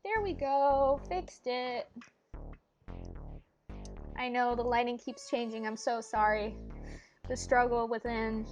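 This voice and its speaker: A woman livestreaming a visual novel game, chatting casually and reading lines aloud with giggly, rambling asides. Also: fairly high pitch. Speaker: streamer playing a visual novel. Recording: music playing behind. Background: video game music.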